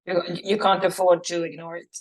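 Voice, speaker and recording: A woman from Sweden with a Swedish accent, a native Swedish speaker, talking as a guest coach in a halting way, with many restarts and fillers. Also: lowish voice, flat delivery, poor audio. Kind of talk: casual interview.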